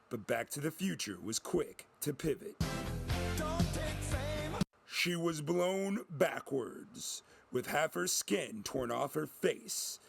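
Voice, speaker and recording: Gravelly male storyteller, unhurried dramatic narration. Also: mid-range voice.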